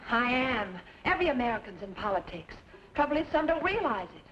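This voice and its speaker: An actress playing an earnest, spirited daughter urging courage in an old-time radio western. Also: female voice, fairly high pitch, sing-song, fairly quick. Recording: muffled vintage radio recording, faint noise.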